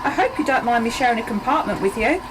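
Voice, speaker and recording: A woman's voice: fairly high pitch, animated, fast, natural voice. A woman acting a friendly, fussing lady train passenger in an amateur radio play, polite and full of exclamations. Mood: eager, polite. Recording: noisy community radio studio recording.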